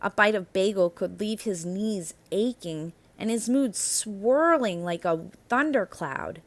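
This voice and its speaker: Slow-paced woman reading a children's storybook. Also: medium pitch, animated. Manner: deliberate, emphatic phrasing with drawn-out key words. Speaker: narrator reading a children's picture book aloud. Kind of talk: expressive children's read-aloud storytelling.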